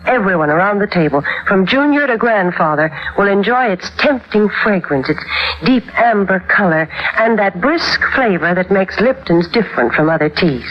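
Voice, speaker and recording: A woman delivering a wholesome, polished sponsor pitch in the style of 1940s radio advertising. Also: expressive, normal speed. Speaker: sponsor announcer and host's foil. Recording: dull vintage radio recording.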